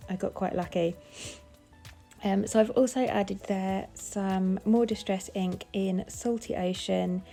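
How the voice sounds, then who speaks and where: A woman with a cold, sounding bunged up, calmly explaining each step of her craft project in a casual tutorial voice-over. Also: mid-range voice, gravelly. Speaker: papercraft designer.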